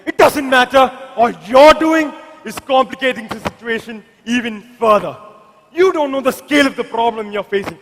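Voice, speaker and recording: A man plays a compromised police officer backed into a corner. The voice is very high and defiant, delivered as stage drama. Long reverb from a hall trails every phrase. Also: normal speed. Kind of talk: amateur stage drama dialogue.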